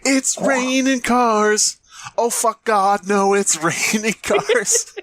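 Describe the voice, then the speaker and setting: A man co-hosting a podcast, reading fan fiction mock-dramatically with casual, expressive banter and frequent riffing asides. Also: high voice, takes it slowly, a little hiss or hum. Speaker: podcast co-host.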